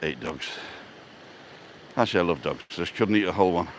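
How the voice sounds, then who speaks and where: A man's voice: sits low, lively intonation, a little fast, a little hiss or hum. A man with a Yorkshire accent, chatting and joking on a live walk outdoors by the sea. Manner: chatty, joking, reads viewer comments aloud. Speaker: vlog host. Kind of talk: casual live-stream walk chat. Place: outdoors on a seaside walkway.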